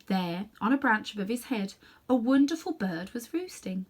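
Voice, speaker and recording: A woman narrating a children's story as a reader, with expressive, dramatic delivery. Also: medium pitch, moderate pace.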